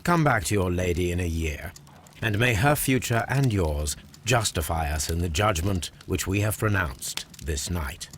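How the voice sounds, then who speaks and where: A British man playing a composed, authoritative Victorian detective in a video game, speaking in a measured, formal, deliberate way. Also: low voice, expressive, slight background noise. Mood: solemn, formal. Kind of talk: dramatic video game acting.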